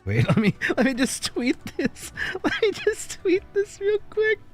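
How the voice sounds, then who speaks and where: Lively streamer man over faint music. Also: very high voice, unhurried. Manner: animated, thanks viewers by name, laughs often. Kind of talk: casual livestream chat. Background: faint background music.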